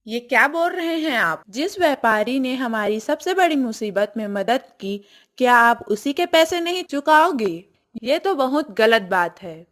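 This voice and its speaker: A dutiful, honest village wife played by a woman in a cartoon tale. Her voice is fairly high and she speaks earnestly and respectfully. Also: animated, unhurried, a bit bright. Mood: reproachful.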